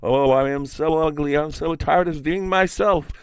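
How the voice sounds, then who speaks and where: A man's voice: on the high side, moderate pace, clear, resonant tone, no room sound, studio quality, pitched above the performer's own voice, close to an everyday voice. A man voicing a vain, restless trickster bird from a folk tale, pleading and exclaiming impatiently.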